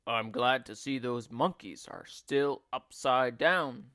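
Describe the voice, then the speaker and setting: A man's voice: mid-range voice, takes it slowly, pushed and bright, no echo, clean studio sound. A man voicing a grumpy, nasty husband from a children's storybook, blustering and indignant.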